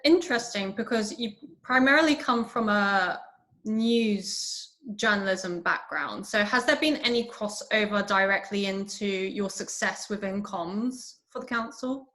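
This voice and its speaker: Woman interviewer, mid-pitched, measured and affirming. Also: a bit bright. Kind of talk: interview questions.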